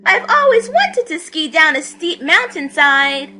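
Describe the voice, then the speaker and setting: A woman's voice: extremely high pitch, sing-song, measured pace, sharp tone, voice pushed up, heavily acted. A woman voicing a small, innocent male toy bear in a storybook, wide-eyed and delighted. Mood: excited delight.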